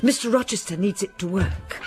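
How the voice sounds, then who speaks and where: An older actress playing a kindly, fussing housekeeper in a radio drama. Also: female voice, mid-range voice, moderate pace, darkish tone, faint music behind, natural voice. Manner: bustling, fussy.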